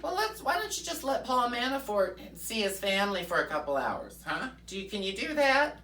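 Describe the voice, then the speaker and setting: A woman's voice: mid-range voice, big pitch swings, fairly bright tone, reverberant, recorded from a distance. Woman playing a fiery folksy pundit lady. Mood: chatty gossiping. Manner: fiery, preachy, with drawn-out "mm-hmm" asides. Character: brash folksy right-wing woman pundit persona. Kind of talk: comic political rant in character.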